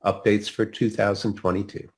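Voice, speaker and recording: A man's voice: on the low side, little pitch movement, normal speed. A man moderating a medical webinar, reading notices and hesitating with fillers.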